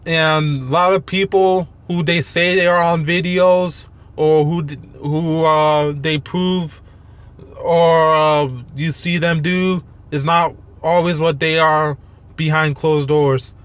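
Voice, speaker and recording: A man giving an unscripted, rambling rant in a monotone, halting way, blunt and self-deprecating with casual swearing. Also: a little high, clear, resonant tone. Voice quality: monotone delivery. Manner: halting, rambling, loosely strung thoughts. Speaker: fitness vlogger.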